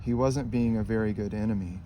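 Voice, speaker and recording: A man reading a children's picture book aloud in a calm, even storytelling manner. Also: fairly low pitch, fairly quick, slight background noise. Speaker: storybook reader.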